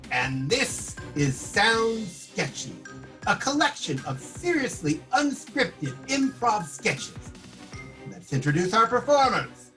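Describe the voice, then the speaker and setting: A man's voice: sits high, sing-song, takes it slowly, sharp tone, soft background music. A man hosting a comedy show, giving a playful introduction in an exaggerated, theatrical delivery.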